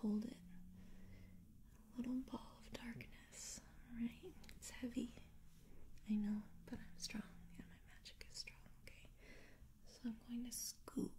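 A young woman whispers softly and breathily up close, gently soothing and reassuring in an ASMR girlfriend comfort roleplay. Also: on the high side, flat delivery. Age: young adult. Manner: soothing, gentle, reassuring, repeating words slowly. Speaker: ASMR performer. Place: small quiet indoor room. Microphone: close to the mic.

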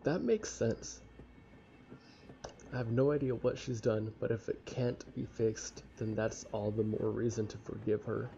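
A young man with a casual, halting, sweary manner reading a visual novel aloud and commenting as he plays. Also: on the low side, gravelly, dark tone. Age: young adult. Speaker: let's play streamer. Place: small room at home. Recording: dull lo-fi mic with faint noise.

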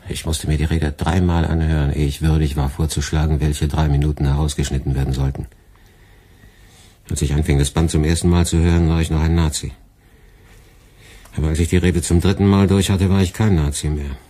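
An actor playing a dry, sardonic middle-aged radio department head with a husky, measured, ironic delivery in a radio play. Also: male voice, a bass voice, fairly even intonation, warm tone. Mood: wry, reflective. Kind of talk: German radio play acting.

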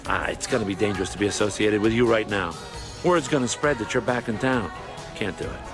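A man's voice: lowish voice, a little fast, music in the background, close to an everyday voice. A man acting a slick, mercenary and reluctant mob lawyer in a video game, with a smarmy, evasive manner. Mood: evasive, sly.

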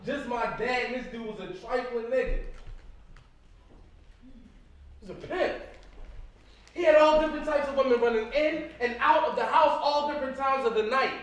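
A man's voice: extremely high pitch, very echoey. A young man on a theater stage acting an angry, self-justifying abusive boyfriend, slangy and emphatic, captured from far off. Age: young adult. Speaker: stage actor. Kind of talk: stage play acting, emotional monologue. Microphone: distant camera.

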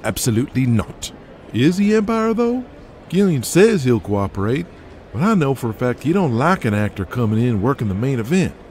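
An actor playing a shrewd, folksy wrestling business adviser in an audio drama, speaking persuasively with the odd hesitation. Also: male voice, medium pitch, very animated, normal speed, darkish tone, a little hiss or hum, lightly acted. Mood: worried.

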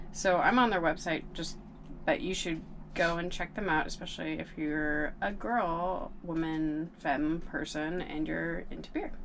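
A young woman sitting in a small boat cabin, casually reviewing craft beer with a relaxed, laughing manner. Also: on the low side, a little hiss or hum. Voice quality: relaxed, laughs often, drawn-out fillers.

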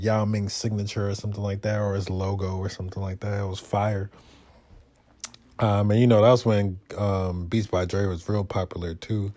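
A man's voice: fairly low pitch, mellow. A young man from Brooklyn, telling a story in casual, slangy, laid-back talk for a vlog. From Brooklyn, New York. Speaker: motovlogger.

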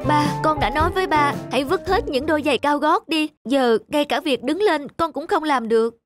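A woman's voice: high-pitched, moderate pace, close to an everyday voice. A woman voicing a young former model in despair, in a dubbed cartoon. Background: loud music.

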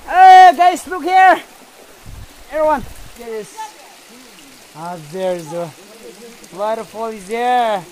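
A young man from India vlogging on a phone held at arm's length while hiking a forest trail to a waterfall, tired and thirsty, with excited exclamations and halting simple phrases. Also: very high voice, a little hiss or hum, rough recording. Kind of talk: casual vlog narration while hiking.

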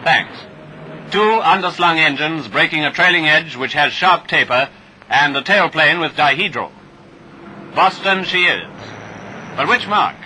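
A man's voice: on the high side, animated, measured pace, bright, forceful tone, slight background noise, poor audio. A British man narrating a scripted 1940s wartime training film in a jaunty, wry, clipped manner, on a vintage soundtrack.

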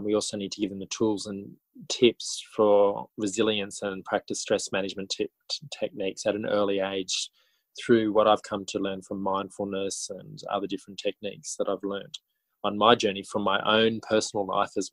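Low-voiced Australian man, reflective. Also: monotone, normal speed, no room sound, rough recording. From country New South Wales, Australia. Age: 40s. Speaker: interview guest, schoolteacher and author. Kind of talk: casual podcast interview.

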